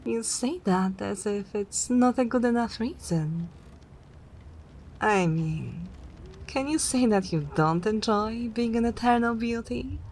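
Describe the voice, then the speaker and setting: A woman playing a haughty, regal older vampire mistress in an ASMR roleplay, speaking in an imperious, measured way. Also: a little high, darkish tone, slight background noise, rough recording. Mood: defensive, haughty. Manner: imperious, measured, breathy near-whispers.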